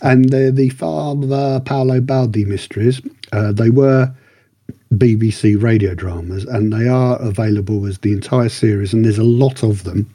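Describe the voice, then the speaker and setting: A man with a relaxed, husky voice chats through a review on a podcast, recorded dry and close. He rambles enthusiastically, often correcting himself as he goes. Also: mid-range voice, normal speed, no echo. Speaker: podcast co-host reviewing books and radio dramas. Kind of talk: casual review chat.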